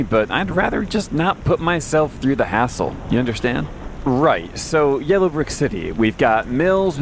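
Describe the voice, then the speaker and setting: A man acts out a lone wasteland radio host in an audio drama, with a mid-pitched voice. He rambles conversationally, wry and a little anxious, with self-deprecating deadpan. Noticeable ambient noise sits behind him. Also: natural voice. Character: wry, anxious post-apocalyptic male radio host wandering dangerous wastelands. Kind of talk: conversational audio drama monologue, answering listener messages.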